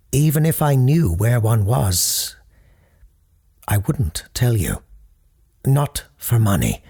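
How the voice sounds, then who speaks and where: A man playing a solemn parapsychologist who hosts a late-night call-in show in an audio drama, speaking formally and deliberately in a husky, gravelly voice. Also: mid-range voice, darkish tone, heavily acted. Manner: formal, deliberate, halting pauses. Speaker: radio call-in host. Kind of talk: scripted audio drama, deliberate radio monologue.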